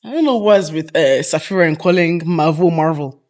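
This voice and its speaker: A woman's voice: low voice, a little fast, darkish tone. Casual female podcast host. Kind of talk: casual group podcast chat.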